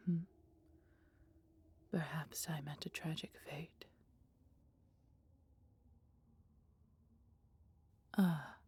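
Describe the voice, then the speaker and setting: A woman voicing a caring, protective female dragon in an intimate, breathy ASMR roleplay, with gently formal, old-fashioned speech. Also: low voice, exaggerated voice. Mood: reassuring. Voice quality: breathy, whispery.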